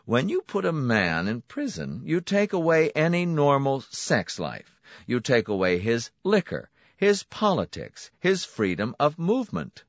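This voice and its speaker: A man voicing a smooth, smiling, menacing clinic director who relishes his words. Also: mid-range voice, wildly swooping pitch, measured pace, no echo, highs cut off, pitched above the performer's own voice. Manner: silky, pointed, relishing emphasis.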